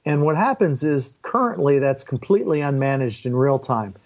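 An older man speaks as an interview guest with a pilot's background. He lays out his explanations firmly and with clear opinions, at a middling pitch. The sound is somewhat dull and lo-fi. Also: expressive, moderate pace, darkish tone. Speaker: interview guest, former airline and Air Force pilot.

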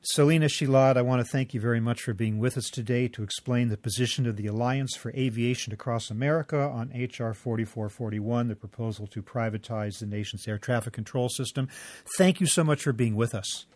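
A man's voice: mid-range voice, brisk, darkish tone. Male podcast interviewer in a roomy space.

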